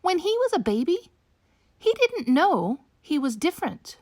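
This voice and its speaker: A woman reading a children's picture book aloud in an expressive, slow, storytelling manner. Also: high-pitched. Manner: slow, animated read-aloud delivery for young children. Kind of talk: expressive bedtime storybook narration.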